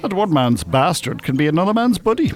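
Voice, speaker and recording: A worldly older Irishman in a radio play. He is dry, teasing and opinionated, with a lively rise and fall in his voice and a blunt, cynical streak. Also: on the high side, fairly quick. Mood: cynical, explaining. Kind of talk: naturalistic radio drama acting.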